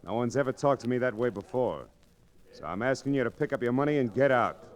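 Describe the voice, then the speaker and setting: An actor playing a hard, self-possessed gambling boss in an old Western radio drama, speaking firmly and confrontationally. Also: male voice, mid-range voice, expressive, fast talker, natural voice. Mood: threatening. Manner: firm, confrontational.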